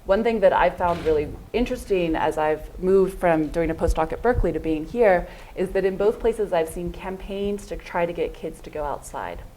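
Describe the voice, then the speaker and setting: A woman's voice: on the low side, normal speed, few pauses, dark tone, a little hiss or hum, low-quality recording. A woman giving a thoughtful conference talk, often hedging with "I think" and "um". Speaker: panel speaker.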